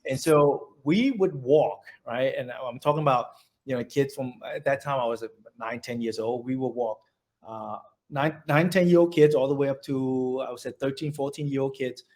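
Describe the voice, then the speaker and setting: A man's voice: mid-range voice, normal speed, darkish tone. A middle-aged man from Vietnam with a Vietnamese accent, telling his life story in an oral history interview, rambling, with many restarts and fillers.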